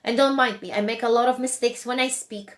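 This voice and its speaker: A woman with a Polish accent hosting a live motivational talk, her voice sitting somewhat high. She speaks English as a second language, earnest and repetitive, often stopping to restart a word. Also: steady tone, brisk. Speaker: host and coach.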